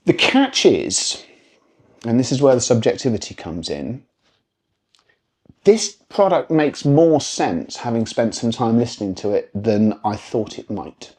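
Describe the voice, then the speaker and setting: A British man presenting a conversational product review, speaking in a measured, articulate, discursive way with wry asides. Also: mid-range voice, big pitch swings, a little mellow.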